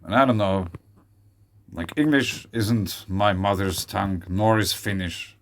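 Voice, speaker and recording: A man's voice: on the low side, measured pace. A man in his forties with a Swiss German accent, rambling in a casual solo video with many fillers and restarts. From Switzerland. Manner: rambling, hesitant, with frequent fillers. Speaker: video creator. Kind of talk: casual monologue.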